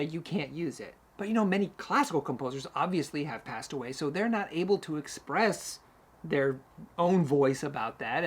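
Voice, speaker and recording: A man hosting a music talk, telling history stories casually and animatedly, acting out short quotes as he goes. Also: a little high. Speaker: host, music educator and conductor. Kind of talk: casual storytelling about classical music history.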